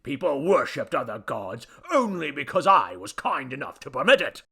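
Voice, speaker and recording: A man voicing a pompous, irritable ancient Babylonian god in a story, with grandiose, formal declamation and a rusty, booming voice. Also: big pitch swings, moderate pace, creaky, fairly bright tone, husky, exaggerated voice. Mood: grandiose, boastful. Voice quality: rusty booming foghorn bass.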